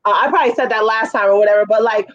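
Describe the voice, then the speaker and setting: A woman with a raspy voice giving casual, effusive feedback as a judge at a music showcase. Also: a little high, very fast, no room sound, highs cut off. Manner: effusive, chatty, full of fillers.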